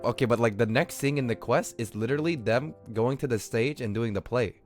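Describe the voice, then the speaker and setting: A man's voice: mid-range voice, fairly quick, soft background music. A man livestreaming a game with casual, rambling, slangy commentary. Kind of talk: casual gameplay commentary to chat.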